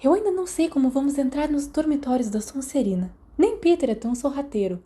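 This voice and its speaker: A Brazilian woman voicing a bold, impatient schoolboy troublemaker with an excitable, demanding manner in a storybook. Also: high-pitched, fairly quick, pitched above the performer's own voice.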